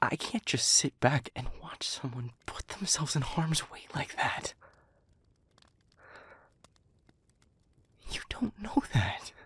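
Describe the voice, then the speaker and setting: A man playing an anguished, protective demon pleading with a former lover in an intimate audio roleplay, husky and breathy, halting and stammering in his earnestness. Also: deep voice, no echo, heavily acted.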